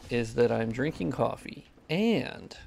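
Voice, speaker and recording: A man's voice: mid-range voice, lively intonation, takes it slowly, gravelly, darkish tone, a little hiss or hum. A young man with a nasal voice chatting casually while he plays and mods a game, cursing freely and answering chat questions. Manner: profane, reads chat questions aloud. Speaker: modder streaming gameplay.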